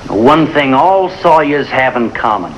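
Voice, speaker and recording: An actor playing a hot-headed young rural bully in a 1950s television drama, sneering and goading. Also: male voice, medium pitch, sing-song, a little slow, slight background noise. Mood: taunting. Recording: muffled, echoey old soundtrack with music.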